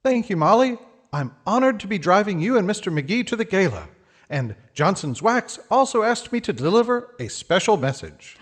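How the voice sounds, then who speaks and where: A man playing an earnest, formal announcer in an old-time radio comedy, husky-voiced and flattering. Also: sits high, moderate pace. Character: Harlow Wilcox, polished, earnest 1940s radio announcer.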